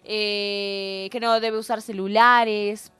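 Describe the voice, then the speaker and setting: A woman's voice: medium pitch, level tone, slow pace, a bit bright, smooth, no echo, soft background music. A woman with a Bolivian accent bantering casually as a radio co-host.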